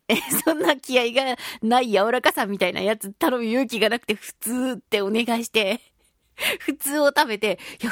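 Playful lady radio host chatting away, really high lively voice, dry studio sound. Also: dry recording. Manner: playful, expressive, giggly. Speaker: radio show host, voice actress. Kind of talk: casual chatty talk reading listener mail.

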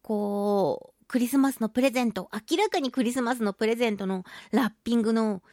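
A woman with a fairly high voice hosts a chatty solo radio show. She tells everyday stories in an animated, exclamatory, giggly manner. The sound is close and very dry, as from a studio microphone. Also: brisk, dry recording. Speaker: radio talk show host; voice actress. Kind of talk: chatty solo radio monologue about daily life.

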